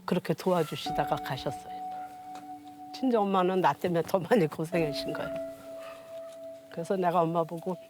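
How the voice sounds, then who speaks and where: A woman's voice: on the low side, moderate pace, long pauses. A woman in her sixties telling personal stories as an interview guest, with plain, homely, unpolished speech. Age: 60s. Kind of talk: personal storytelling in conversation. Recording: music behind the voice.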